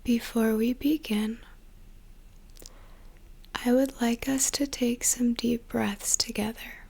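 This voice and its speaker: A young woman whispering a slow, soothing guided meditation as an ASMR creator, with a soft breathy voice. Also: fairly high pitch, steady tone.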